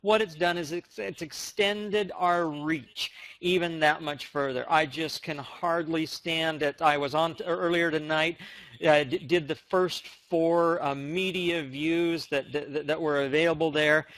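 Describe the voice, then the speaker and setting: An American man presenting on a conference call, giving an enthusiastic, emphatic motivational sales pitch. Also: fairly even intonation, measured pace, a bit bright. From United States.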